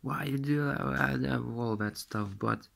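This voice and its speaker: A man in his thirties from Lithuania, speaking English with a Russian accent, slowly retelling a dream to a close-held phone camera in a small room, halting and repeating words as he searches for them. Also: medium pitch, gravelly, fairly bright tone. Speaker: vlogger.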